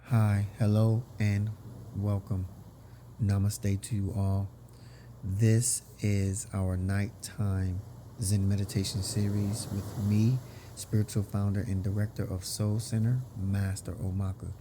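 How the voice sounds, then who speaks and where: A man leading a guided meditation as a teacher, speaking in a soothing, deliberate way with drawn-out phrases and pauses. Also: fairly low pitch, level tone, background noise. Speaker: meditation teacher and podcast host. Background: background music.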